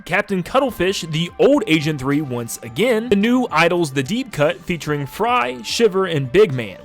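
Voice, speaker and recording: A man giving enthusiastic video game retrospective commentary as a host, with emphatic, gushing delivery and drawn-out stressed words. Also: high voice. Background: game soundtrack music under the voice.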